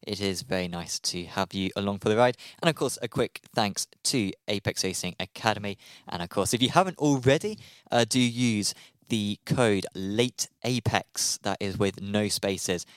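A man co-hosting a racing podcast in casual chat, relaxed and hesitant with many fillers. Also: lowish voice, clear, resonant tone, dry recording, clean studio sound. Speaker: podcast co-host. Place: studio.

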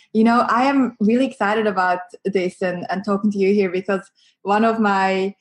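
A woman with a Swiss accent talking animatedly as a podcast guest, chatty and quick to laugh. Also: mid-range voice, a little mellow. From Switzerland. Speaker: podcast guest, marketing agency founder. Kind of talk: conversational interview.

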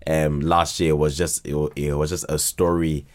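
A man chatting casually as a podcast guest, halting and often repeating words as he finds his way. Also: a bass voice, level tone. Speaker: podcast guest, fiction podcast creator. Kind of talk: casual chat.

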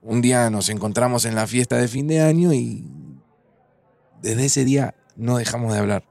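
A man's voice: mid-range voice, normal speed, vocal fry, a little mellow, no echo, lightly acted. An actor playing a nervous, smitten man in his forties, chatting casually and affectionately with an old friend in a Buenos Aires accent, in a fiction podcast. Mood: sincere, nervous. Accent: Argentine, Buenos Aires. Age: 40s. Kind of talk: naturalistic fiction podcast dialogue.

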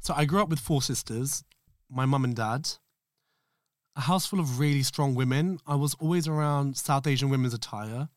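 A man's voice: mid-range voice, normal speed, no echo. Camp, sassy man hosting. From England, east London. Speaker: podcast host. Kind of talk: scripted personal narration.

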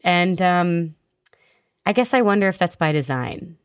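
A woman host narrating a scripted story and interviewing a guest, with a measured explanatory delivery. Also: on the low side, animated, clear, resonant tone, no room sound, studio quality.

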